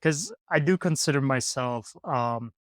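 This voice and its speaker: Male podcast host, relaxed, halting delivery, mid pitch, dry close sound. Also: no room sound. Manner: easygoing, halting, many "um"s. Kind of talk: casual interview chat. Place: hostel room.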